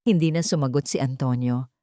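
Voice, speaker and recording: A woman's voice: extremely low pitch, a little fast, mellow, no room sound, studio quality. A woman narrating a scripted dramatic story as its storyteller.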